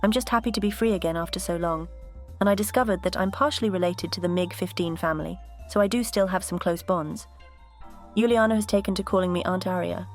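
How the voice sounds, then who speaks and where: A woman voicing a composed vintage aircraft character, telling her story in a measured, earnest cartoon dialogue style. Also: lowish voice, soft background music, lightly acted. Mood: polite, gentle. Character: composed, bitter but grateful female vintage jet museum exhibit.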